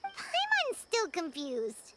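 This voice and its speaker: A woman voicing a tiny, excitable, easily confused fairy companion in a video game, squeaky and exclamatory. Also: slow pace, very noisy, exaggerated voice. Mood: puzzled. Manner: squeaky, exclamatory, talks of self in third person. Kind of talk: video game character acting.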